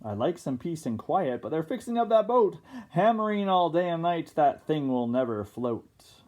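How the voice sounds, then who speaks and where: A storyteller voicing a grumpy man next door who grumbles emphatically for peace and quiet. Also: medium pitch, sing-song, normal speed, lo-fi sound, voice pushed up, close to an everyday voice. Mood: grumpy, fed up.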